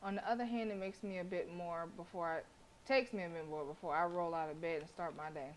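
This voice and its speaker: Lower-pitched woman narrating a visual novel. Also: steady tone, fairly quick, slight background noise. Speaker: streamer reading a visual novel aloud. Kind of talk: expressive live narration of story text.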